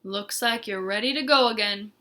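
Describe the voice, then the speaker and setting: A woman's voice: mid-range voice, normal speed, bright, forceful tone, close to an everyday voice. A woman voicing a boastful old male snake in a storybook, speaking emphatically. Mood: kindly. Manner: bragging, emphatic.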